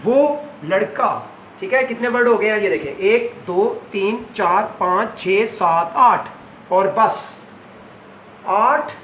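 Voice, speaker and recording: A man teaching spoken English to beginners, going word by word and repeating each meaning slowly. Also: sits high, a bit bright, echoey room, slight background noise, poor audio. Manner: slow, repetitive word-by-word explanation.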